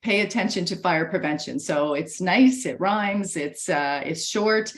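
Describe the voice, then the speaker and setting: A woman's voice: on the low side, unhurried. A woman losing her voice a bit, chatting through a video-call webinar presentation with lots of fillers and restarts. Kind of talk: casual presentation. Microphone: computer mic on a video call.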